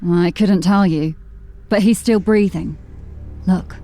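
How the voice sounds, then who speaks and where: A woman's voice: lowish voice, steady tone, takes it slowly, warm tone. An actress playing a practical, matter-of-fact woman officer of a city watch. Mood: clinical. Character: steady female watch officer, level-headed. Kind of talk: audio drama acting. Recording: music bed under the voice. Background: music.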